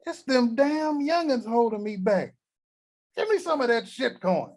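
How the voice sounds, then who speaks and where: An actor playing a crude, cranky old grandfather at a table read, talking gruff and vulgar. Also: extremely high pitch, expressive, a little slow, a little mellow, heavily acted.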